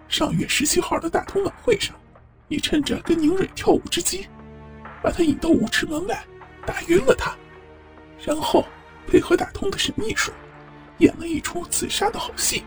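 A man's voice: very high-pitched, moderate pace, recorded from a distance, faint music behind. A man playing a sly, mocking blackmailer who disguises his voice with a strained, squeezed delivery in an audio drama. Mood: mocking, menacing.

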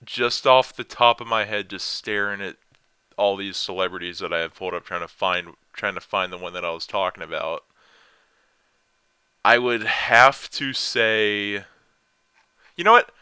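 A young man with a deep voice, rambling and self-deprecating as he answers viewer questions in a casual vlog. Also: measured pace, bright, forceful tone, smooth, no echo.

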